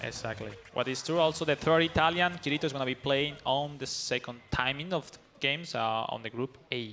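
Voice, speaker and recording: A man's voice: mid-range voice, pushed and bright, faint music behind. A man whose first language is Spanish, giving live esports analysis in eager, non-native English. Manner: eager, non-native phrasing, quick rising calls during play.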